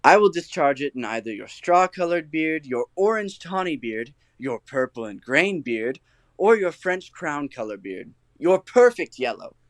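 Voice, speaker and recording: A man's voice: a little high, sing-song, a little slow, no echo. A man playing a boastful, eager workman and amateur actor, showy and theatrical, in a comic Shakespearean audio play. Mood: self-important.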